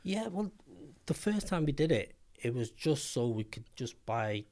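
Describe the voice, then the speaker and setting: A man's voice: mid-range voice, sing-song, a little slow, warm tone. A man in his fifties with a Manchester accent, telling his story as a podcast guest, hesitant with lots of ums and blunt slang. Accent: Mancunian English. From Manchester, England. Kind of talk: casual storytelling interview.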